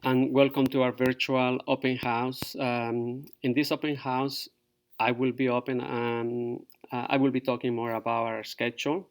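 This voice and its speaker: Middle-aged teacher, halting. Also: male voice, mid-range voice, little pitch movement, smooth. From Peru. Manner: halting, many fillers. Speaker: fourth grade teacher. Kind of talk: virtual open house presentation to parents.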